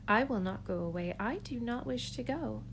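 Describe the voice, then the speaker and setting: A woman's voice: on the high side, animated, moderate pace, background noise, natural voice. A woman voicing a mischievous male cat who shows off his tricks, boastful and coaxing.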